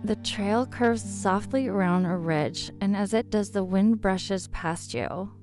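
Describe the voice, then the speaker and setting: Calm woman narrating over clear background music. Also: mid-range voice. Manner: calm, soothing, unhurried. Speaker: sleep story narrator. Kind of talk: guided meditation sleep story narration.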